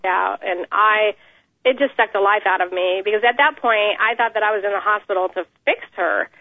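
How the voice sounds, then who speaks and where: A woman in an interview, giving an earnest, measured account of her family with many pauses. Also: mid-range voice, no room sound. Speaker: interview guest, mother. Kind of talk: phone interview, personal account. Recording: tinny phone line.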